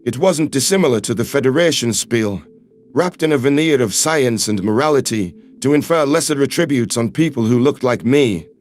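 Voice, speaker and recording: A man voicing a calm, earnest human man who speaks in firm, measured, reassuring tones. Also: medium pitch, faint music behind, pitched below the performer's own voice, natural voice. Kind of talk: audiobook character voice.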